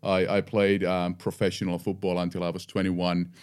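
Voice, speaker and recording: Man, Finnish accent, middle-aged, very low pitch, reflective, many restarts, podcast guest. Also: completely flat delivery, moderate pace, a little mellow, smooth. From Finland. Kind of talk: podcast interview.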